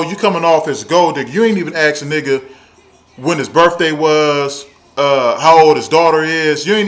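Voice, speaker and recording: Male vlogger, 30s, Southern US accent, high voice. Casual storytelling rant, blunt, sweary, emphatic repetition. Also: a bit bright. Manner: blunt, profane, emphatic, repeats phrases for effect. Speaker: video blogger.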